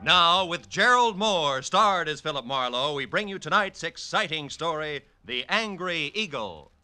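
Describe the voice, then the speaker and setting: A man's voice: on the high side, animated, measured pace. A man announcing a program in dramatic, formal 1940s radio style. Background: loud orchestral music with timpani.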